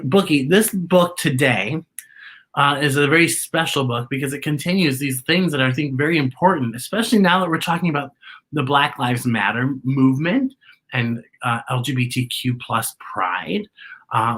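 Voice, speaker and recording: Theatrical kids' show host, bright woman's voice. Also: extremely low pitch, breathy edge. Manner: theatrical, playful, sing-song delivery. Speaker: host of a children's story time show. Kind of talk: children's read-aloud show presenting.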